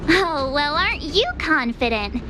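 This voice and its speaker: Perky girl adventurer, very high pitch, lively swings, teasing, game cutscene, loud music. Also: unhurried. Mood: teasing, curious. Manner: playful, expressive. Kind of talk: video game cutscene acting.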